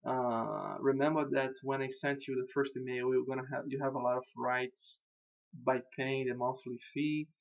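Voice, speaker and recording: A man's voice: medium pitch, fairly even intonation, moderate pace, vocal fry, highs cut off. A man whose first language is Portuguese, speaking English casually as a web designer while walking a client through their website, repeating words and laughing at himself. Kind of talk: casual screen-recorded walkthrough.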